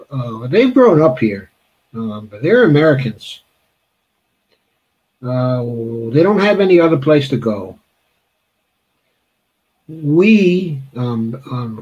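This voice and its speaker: A man's voice: mid-range voice, a little mellow, breathy edge, lo-fi sound. A man hosting a political interview, hesitant with many fillers but blunt and emphatic in his views. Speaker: interviewer, host.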